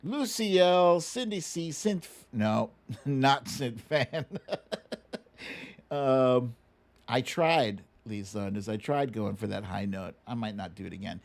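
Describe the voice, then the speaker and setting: Unhurried American livestream host. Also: medium pitch, animated. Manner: playful, self-deprecating, chuckling. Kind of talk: casual livestream chat with a sing-and-guess song game, reading out viewer names.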